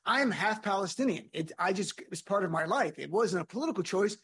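Husky high-voiced middle-aged man. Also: animated, brisk, a bit bright. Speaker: guest, comedian and lawyer. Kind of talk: panel interview.

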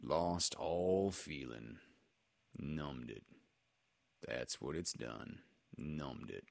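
A man voicing a gloomy old male donkey who grumbles slowly and mournfully. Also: a bass voice, lively intonation, vocal fry, no room sound, pitched below the performer's own voice, exaggerated voice.

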